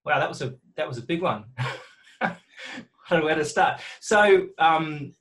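A man hosting a podcast interview, chatty and quick to laugh, often restarting his sentences. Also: a little high, animated, a bit bright. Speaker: podcast host. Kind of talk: conversational interview.

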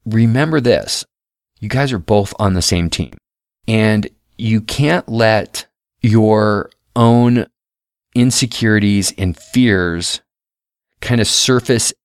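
A man's voice: lowish voice, dry recording. A middle-aged man talking casually and earnestly into a close mic, often restarting and repeating words as he shares advice. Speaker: podcast guest host.

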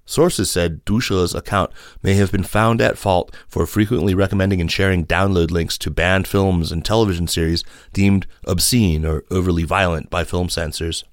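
Deep-voiced man reading the news. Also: no echo, clean studio sound. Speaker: podcast host reading news stories. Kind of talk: scripted news narration.

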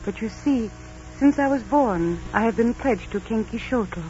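A woman's voice: on the high side, animated, moderate pace, natural voice. An actress playing a devout, tender young Aztec princess resigned to her fate, in earnest, formal 1940s radio romance style. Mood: solemn, resigned. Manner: earnest, melodramatic, formal diction. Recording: dull 1940s radio recording, music behind.

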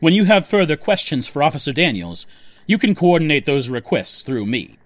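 A man's voice: fairly high pitch, big pitch swings, moderate pace, no room sound. A man playing a controlling, impatient faction leader in a sci-fi audio drama, talking in a clipped, commanding, weary way. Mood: businesslike, curt.

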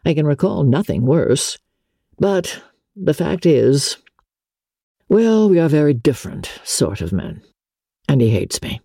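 Classic storybook gentleman officer performed by a woman: genteel, aggrieved, confiding, soft-edged husky delivery with expressive swings. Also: sits low, no room sound, studio quality, exaggerated voice. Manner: measured, plaintive, confiding. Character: smooth-talking, wronged young gentleman officer, wounded and genteel.

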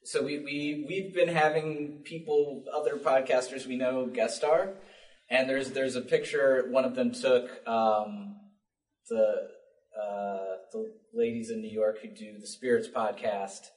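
A man on a panel talking casually and haltingly. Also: mid-range voice, steady tone, darkish tone. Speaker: panelist, podcaster. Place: public library panel room. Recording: echoey room, distant mic.

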